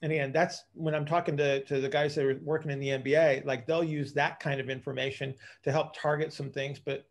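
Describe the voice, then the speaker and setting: A man with a slightly high voice talks as a coach on a video call. He explains things casually, stopping and starting and repeating phrases as he thinks aloud. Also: flat delivery, brisk, hardly any pauses. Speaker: coach hosting a group call. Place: indoors on a group video call.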